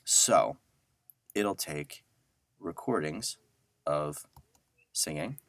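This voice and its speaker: A man with an extremely low voice walks through software in an informal screen tutorial. He takes his time, thinking aloud as he goes, and the sound is dry and close. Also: extremely slow, no room sound. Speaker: audio software instructor. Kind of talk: casual screen-share tutorial.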